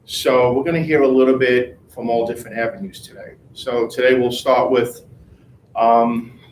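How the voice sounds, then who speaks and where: A man leading a sales team meeting in front of a group. He reads out rankings as a brisk list and then chats casually with team members. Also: medium pitch, fairly even intonation, echoey room, slight background noise, low-quality recording.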